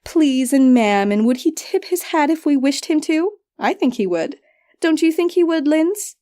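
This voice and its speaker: A woman's voice: high voice, expressive, unhurried, mellow, pitched above the performer's own voice. A woman voicing a cruel, petulant witch sister in a fantasy audiobook, with a taunting, sing-song, theatrical delivery. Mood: mocking, playful.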